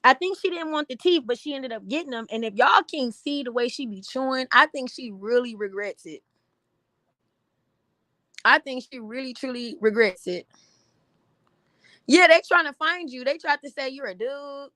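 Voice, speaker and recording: Slightly raspy woman on a livestream, kinda high voice, blunt and animated, laughs a lot. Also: normal speed, pauses often. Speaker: livestream host. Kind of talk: casual commentary chat.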